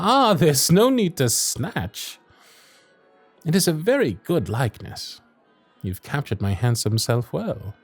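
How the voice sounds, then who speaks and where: A man's voice: mid-range voice, a little mellow, husky, exaggerated voice. A man playing a proud, teasing young Victorian lord in an ASMR roleplay audio drama, with formal, dry, sardonic period speech.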